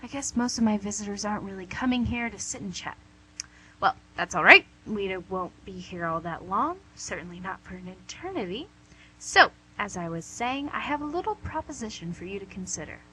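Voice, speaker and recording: A woman's voice: mid-range voice, expressive, a little fast, bright, forceful tone, low-quality recording. A woman playing a suave female devil boss in an amateur radio comedy, pedantic, condescending and mock-polite. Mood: teasing, sly. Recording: amateur radio recording with hum, noise.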